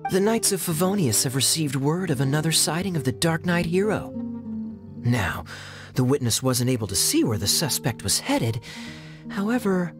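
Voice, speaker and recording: A man's voice: medium pitch, natural voice. A man voicing a suave, teasing young cavalry captain in a video game, playful and theatrical. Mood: wry, insinuating. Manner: theatrical, playful, smooth-talking. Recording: game music behind.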